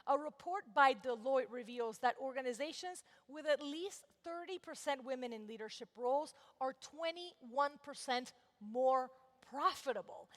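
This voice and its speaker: A woman's voice: high-pitched, a bit bright. A woman from Venezuela moderating a conference panel, asking polished questions built on statistics. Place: large conference hall. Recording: long hall reverb.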